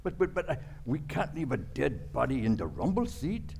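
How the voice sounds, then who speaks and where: A man playing a nervous, soft-hearted criminal doctor in a radio comedy, stammering and pleading in foreign-sounding broken English. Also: medium pitch, sing-song, normal speed, creaky, a little hiss or hum. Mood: anxious pleading. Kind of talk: old-time radio comedy acting.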